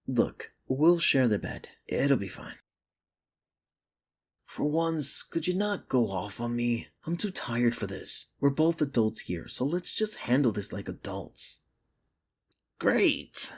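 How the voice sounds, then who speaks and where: A man's voice: medium pitch, husky, exaggerated voice. A man playing a sardonic but secretly caring office rival in an intimate ASMR romance roleplay, with dry, earnest delivery. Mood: tired, irritable. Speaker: ASMR voice actor.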